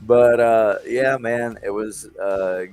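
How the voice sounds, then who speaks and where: A man's voice: fairly low pitch, steady tone, slow, a little mellow, smooth. A middle-aged man, hosting a local music show in a rambling, enthusiastic way. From Texas. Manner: rambling, enthusiastic, with fillers and restarts. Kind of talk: casual livestream chat.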